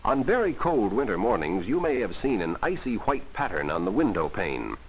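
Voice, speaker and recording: Animated 1940s educational film narrator, a man. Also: fairly low pitch, moderate pace, slightly rough, low-quality recording. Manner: instructive, explains simply to a child with rhetorical questions. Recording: vintage 1940s film soundtrack.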